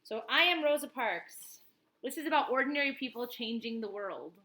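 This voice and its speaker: A woman's voice: on the high side, animated, moderate pace, sharp tone, rough recording. A woman teacher reading a picture book aloud expressively, with informal explanatory asides to children.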